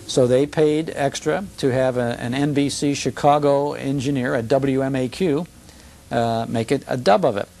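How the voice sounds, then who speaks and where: Gravelly older man, explaining. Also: mid-range voice, darkish tone, a little hiss or hum. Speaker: radio show broadcaster, interview guest. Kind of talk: conversational interview, explaining and recounting. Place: television studio.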